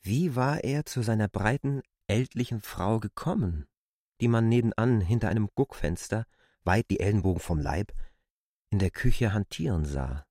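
A male reader performs classic literature as radio drama. His voice sits low and is recorded close, with no room sound. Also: lively intonation, normal speed, darkish tone, dry recording.

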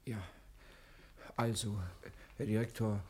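An actor playing a nervous, wheedling convict in a 1960 German radio play, stammering and pleading hesitantly. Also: male voice, on the low side, little pitch movement, slow, dark tone. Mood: stammering, anxious.

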